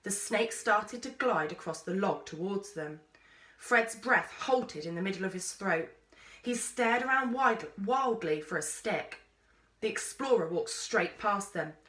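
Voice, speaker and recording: A woman narrates a children's story with measured drama. Her voice is bright and highly animated. She sounds set back from the microphone in an echoey room. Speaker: teacher reading aloud. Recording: roomy echo, distant microphone.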